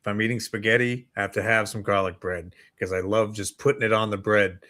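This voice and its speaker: A man's voice: fairly low pitch, little pitch movement, brisk, pushed and bright. An American man co-hosting a casual morning podcast in an easygoing, conversational way. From United States. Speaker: talk show co-host.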